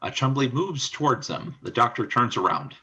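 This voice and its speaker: A man serves as narrator of a scripted radio play. His mid-pitched voice is very sharp and forceful, with a raspy grain. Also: lively intonation, moderate pace.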